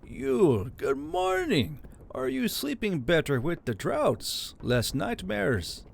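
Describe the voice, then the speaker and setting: A man's voice: sits high, wildly swooping pitch, slow, creaky, slight background noise, voice pushed up, exaggerated voice. A man playing a cheerful old elf governor. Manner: cheerful, chirpy, gently teasing. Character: older male elf governor, kindly, chatty.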